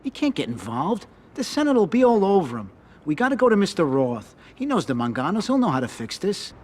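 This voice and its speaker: A man's voice: high-pitched, sing-song, a little fast, darkish tone, slight background noise. A man playing a hot-headed, scheming mobster in a crime game, agitated and insistent. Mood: urgent, pleading. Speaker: video game character actor. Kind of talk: cinematic crime drama acting.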